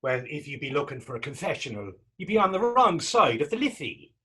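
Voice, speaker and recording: Man acting husky, teasing young Irish drifter. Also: fairly high pitch, sing-song, brisk, pushed and bright, exaggerated voice. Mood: curious banter. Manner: teasing, lilting folksy phrasing. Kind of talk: stage readers theater dialogue.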